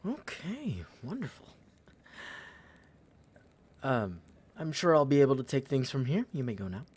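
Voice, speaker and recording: A man's voice: mid-range voice, huge pitch swings, a little slow, a little mellow, slightly rough, exaggerated voice. A man playing a pompous, entitled young prince in a fantasy audio drama, haughty and condescending. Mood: flustered condescension. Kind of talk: fantasy audio roleplay acting.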